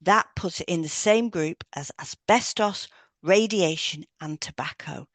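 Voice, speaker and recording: A woman reads scripted educational podcast narration. Her voice sits a little low for a woman. She explains points step by step, and the sound is dry, with no room around it. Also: measured pace, a bit bright, no room sound. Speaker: podcast co-host, hypnotherapist.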